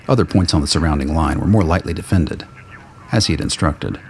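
A man's voice: extremely low pitch, a little fast, darkish tone. A man reading scripted narration as the storyteller of a radio play. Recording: faint noise and ambience.

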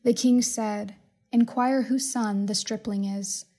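Even-toned woman reading scripture. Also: fairly high pitch, slow pace. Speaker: scripture reader. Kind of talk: scripted Bible reading, narration.

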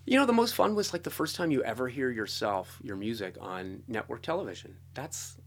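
A man talking informally as an interview guest, telling stories in an animated way and often adding "you know" and "like". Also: medium pitch, a little fast, slightly rough. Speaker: guest, composer and orchestrator. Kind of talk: conversational interview storytelling.

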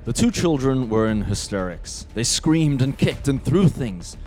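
A man's voice: mid-range voice, big pitch swings, measured pace, darkish tone, soft background music. A man narrating a scripted radio drama.

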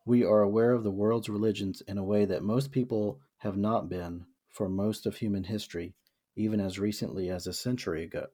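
A man's voice: on the low side, warm tone. A young man from the American South co-hosting a podcast, reading book quotes aloud and reflecting on them with many fillers and restarts. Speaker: podcast co-host. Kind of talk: casual discussion of a book.

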